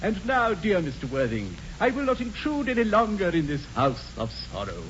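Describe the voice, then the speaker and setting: An actor playing a fussy, pompous English country clergyman in a 1940s radio comedy, with an unctuous, drawn-out delivery. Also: sits high, wildly swooping pitch, a bit bright, exaggerated voice. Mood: fussy, obliging. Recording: dull, noisy 1940s radio broadcast.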